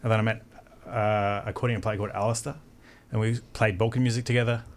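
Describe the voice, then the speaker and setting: A man's voice: on the low side, level tone, quick pace, slight background noise. A man from Australia, a percussionist talking loosely and laid-back in an interview. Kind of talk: casual interview answers.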